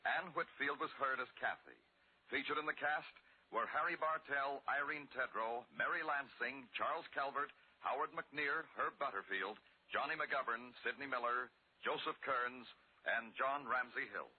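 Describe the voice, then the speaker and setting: A man reading scripted announcements in a formal, measured 1950s radio style. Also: medium pitch, a little hiss or hum. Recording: muffled band-limited old radio broadcast.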